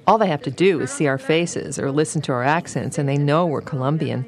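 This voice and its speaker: A woman reading an interpreter's voice-over in a calm, even way. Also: deep voice, a little fast. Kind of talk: read voice-over translation.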